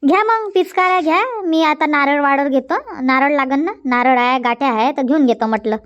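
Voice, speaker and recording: A woman plays a good-natured village housewife from a Marathi comedy cartoon. Her voice is high, and she talks in a colloquial rural Vidarbha accent. She banters and nags, repeating her phrases as she goes. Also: a little fast, fairly bright tone, highs cut off. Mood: chatty, complaining. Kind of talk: dubbed comedy cartoon dialogue.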